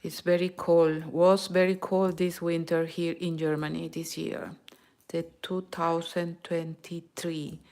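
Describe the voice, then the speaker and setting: A woman from Peru with a Peruvian Spanish accent, a native Spanish speaker, teaching knitting patiently and counting stitches aloud, sometimes slipping into Spanish. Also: lowish voice. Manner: mixes in Spanish words. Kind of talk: knitting tutorial.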